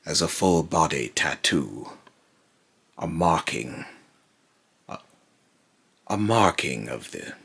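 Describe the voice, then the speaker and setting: A man voicing a brooding otherworldly being in a slow, halting, grave monologue, raspy and breathy, warning darkly about mortals. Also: extremely low pitch, sing-song, a bit bright, exaggerated voice. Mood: ominous. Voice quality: raspy, breathy, lapsing into harsh whisper. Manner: slow, halting, repeats phrases, ominous and grave. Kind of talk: dark dramatic monologue.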